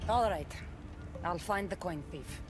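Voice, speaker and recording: A woman's voice: on the low side, unhurried, close to an everyday voice. A woman playing a resolute, plain-spoken young mercenary in a video game, speaking earnestly and directly. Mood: determined. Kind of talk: video game character acting. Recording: game dialogue under clear music.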